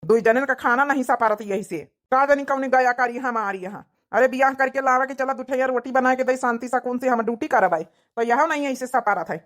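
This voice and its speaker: A woman's voice: high voice, brisk, hardly any pauses, lightly acted. A woman voicing a fed-up village husband in an Awadhi comedy cartoon, complaining and scolding like a long-suffering spouse. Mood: aggrieved, scolding. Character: fed-up working village husband nagging his wife.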